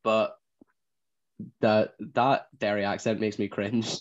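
Laughing Northern Irish man, slightly low. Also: little pitch movement, slow, dull audio. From Northern Ireland. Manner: laughing often, self-deprecating. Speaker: podcast co-host. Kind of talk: casual chat.

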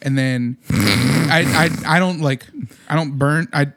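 A man's voice: fairly high pitch, takes it slowly, fairly bright tone. Rambling, profane man telling stories. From Munster, Indiana area. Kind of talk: casual chat.

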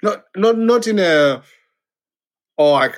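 A man from Zimbabwe, a playwright being interviewed, talking reflectively and haltingly with many restarts and fillers. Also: high-pitched, lively intonation. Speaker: playwright guest.

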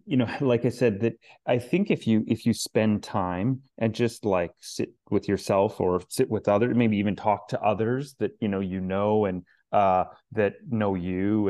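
Rambling man with a low voice. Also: a little mellow. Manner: rambling, many fillers and restarts. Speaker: searcher guest. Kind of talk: casual interview answers.

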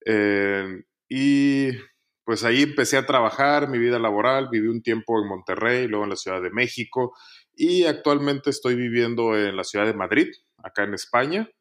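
A man, a podcast guest telling his life story in a relaxed, casual way. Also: medium pitch, moderate pace. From Mexico.

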